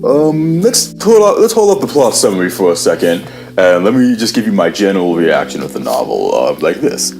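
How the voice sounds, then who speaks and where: A man giving a casual book review to camera, rambling with lots of fillers and restarts, his voice worn low from a day of talking. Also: wildly swooping pitch, a little fast, a little mellow. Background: guitar music.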